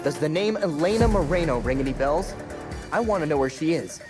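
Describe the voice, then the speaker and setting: A man acts out a young masked crime-fighter from a video game. He is confident and wry, with animated intonation and a slightly raised pitch. Loud music runs behind him. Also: brisk, natural voice. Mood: businesslike. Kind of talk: video game cutscene acting.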